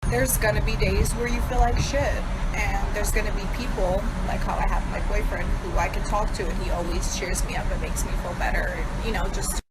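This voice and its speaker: A woman's voice: mid-range voice, steady tone, fairly quick, hardly any pauses. Brash, sassy young woman. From New York. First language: Serbo-Croatian. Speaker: beauty influencer. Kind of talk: casual Q and A vlog. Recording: loud road noise.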